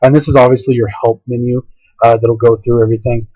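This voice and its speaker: A hoarse man casually talking through a software tutorial, rambling and hesitant with lots of fillers. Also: medium pitch, level tone, fast talker, no room sound, dull audio. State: hoarse, voice going out. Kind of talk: casual screen-recorded tutorial.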